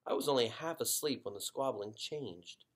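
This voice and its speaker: A man narrating a children's book expressively as a story reader, with dramatic pauses and drawn-out emphasis. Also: medium pitch, animated.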